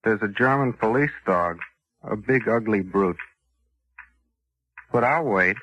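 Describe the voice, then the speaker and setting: An unhinged killer for hire in a vintage radio thriller. He speaks slowly with a hard, forceful edge, coldly casual and menacing, as if killing were routine work. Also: on the low side, husky, lo-fi sound, heavily acted. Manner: flat, matter-of-fact, menacing. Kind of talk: 1940s radio suspense acting. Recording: vintage radio drama broadcast.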